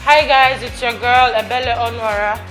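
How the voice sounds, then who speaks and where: Bubbly Nigerian woman, higher voice. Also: fairly even intonation, moderate pace, fairly bright tone, soft background music, poor audio. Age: 30s. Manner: bubbly self-introduction. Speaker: reality show contestant.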